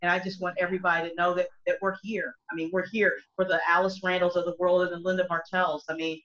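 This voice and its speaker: Middle-aged country singer lady, bright voice, keeps restarting her sentences, muffled laptop mic. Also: fairly low pitch, little pitch movement, fast talker, a little hiss or hum. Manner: earnest, rambling with many restarts. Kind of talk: casual video call discussion. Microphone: laptop webcam mic on a video call. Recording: dull lo-fi video call audio.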